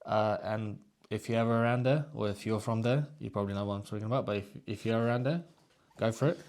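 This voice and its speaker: A man's voice: lowish voice, steady tone, fast, a little mellow. Hesitant man. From Essex, England. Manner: hesitant, many restarts, laughs at himself. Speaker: vlog co-host. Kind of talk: casual chat.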